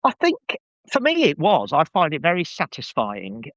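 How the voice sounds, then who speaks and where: A man's voice: a little high, huge pitch swings, moderate pace, fairly bright tone, no echo, dull audio. A man talking as a podcast guest in casual discussion, enthusiastic and emphatic with a wry edge.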